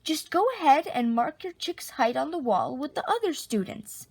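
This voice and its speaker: Woman as a high sing-song schoolteacher. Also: sing-song, moderate pace, darkish tone, dry recording. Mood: placating, dismissive. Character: brisk, dismissive female schoolteacher placating children.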